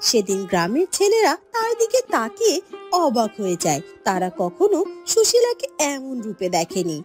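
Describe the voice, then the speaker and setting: Woman, storybook narrator, scripted delivery, lower than character voices, clear music behind. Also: darkish tone. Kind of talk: scripted fairy tale narration.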